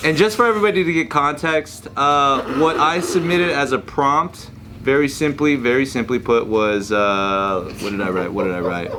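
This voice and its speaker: A man's voice: mid-range voice, moderate pace, noisy background. A man hosting a comedy panel show in a casual, conversational style among friends. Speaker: host.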